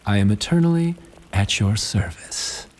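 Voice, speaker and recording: An obsequious attendant in a fantasy radio play. His courtly, fussy speech swings up and down in pitch and rings in a long hall reverb. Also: lively intonation, unhurried, a little mellow. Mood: fawning. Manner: fawning, courtly. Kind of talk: fantasy audio drama acting.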